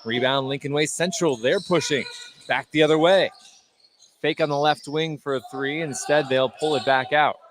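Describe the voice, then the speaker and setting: A man's voice: a little high, moderate pace. Male basketball play-by-play caller, noisy gym. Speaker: play-by-play sports commentator. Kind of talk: live high school basketball radio commentary. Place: indoor basketball gym during a game. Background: loud gym ambience, basketball bounces.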